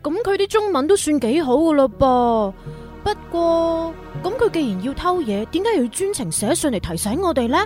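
A woman's voice: high-pitched, very animated, normal speed, a little mellow. An actress playing a plucky, sarcastic young woman in a radio drama, speaking with a Hong Kong Cantonese accent. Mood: sceptical, teasing. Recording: music bed under the dialogue.

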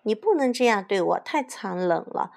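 A woman's voice: medium pitch, expressive, moderate pace, gravelly, darkish tone. A woman voicing a hungry male storybook wolf who blusters, demands and pleads. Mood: wailing.

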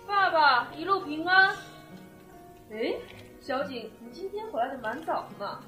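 A woman's voice: high voice, lively intonation, unhurried, bright, forceful tone, exaggerated voice. A woman acting a girlish, mischievous mother in an audio drama, sweet and childlike. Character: girlish, carefree, mischievous middle-aged mother. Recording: roomy echo, music behind.

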